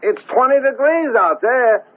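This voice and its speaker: Lively, chatty male cabbie, old radio. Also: very high-pitched, unhurried, full character voice. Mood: chatty, puzzled. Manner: colloquial, folksy, stammers when puzzled. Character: chatty, plain-spoken working-class male cab driver. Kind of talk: 1970s radio drama acting. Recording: noisy, thin, phone-like old radio broadcast.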